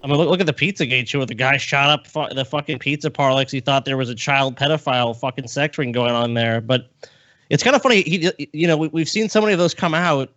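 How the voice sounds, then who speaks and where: A man's voice: mid-range voice, fast talker, fairly bright tone, lo-fi sound. A man co-hosting a podcast in casual, slangy chat, swearing freely. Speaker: podcast co-host.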